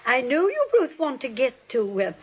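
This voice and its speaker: A genteel, elderly aunt, flustered and fussily polite. Her voice is high and lilting, in 1940s radio drama style, with dull old sound. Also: very animated, moderate pace. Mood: politely evasive. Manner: polite, fussy, hesitant. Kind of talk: 1940s radio play acting.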